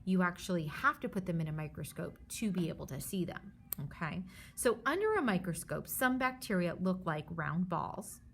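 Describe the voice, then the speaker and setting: A woman's voice: fairly low pitch, sing-song, moderate pace, creaky, a bit bright, slight background noise. A woman teacher reading a children's book aloud, stopping to explain things simply and reassure her young listeners.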